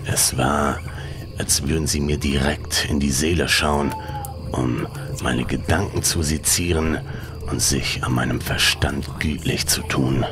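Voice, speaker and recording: A man reading a scripted horror story as a dramatic narrator, in a hushed, breathy, ominous delivery. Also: a bass voice, steady tone, unhurried. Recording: music behind the voice. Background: music.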